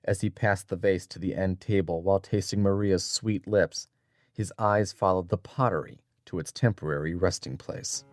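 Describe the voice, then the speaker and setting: A man's voice: sits low, fairly even intonation, normal speed, no echo. A man narrating a dramatic audiobook from a script as a storyteller.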